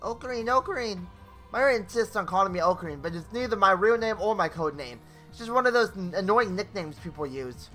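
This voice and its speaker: A man's voice: high voice, lively intonation, quick pace, sharp tone. A young man hosting a gaming video, reading story narration theatrically with casual asides and the odd stumble over words. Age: young adult. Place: small home bedroom. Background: game music.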